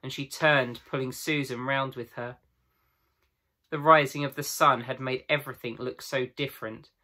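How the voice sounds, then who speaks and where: A man's voice: medium pitch, fairly even intonation, normal speed, sharp tone. A man in his thirties narrating a children's storybook aloud, as a teacher would to pupils. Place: small room at home with bookshelves.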